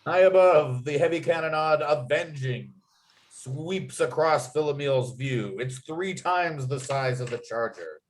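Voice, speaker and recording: A man's voice: a little high, measured pace, low-quality recording. A man reading screenplay stage directions as the narrator of a table read.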